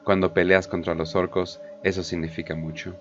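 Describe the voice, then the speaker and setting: A man's voice: deep voice, flat delivery, normal speed, muffled recording. A man narrating a scripted war story in a dramatic audio drama style. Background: prominent background music.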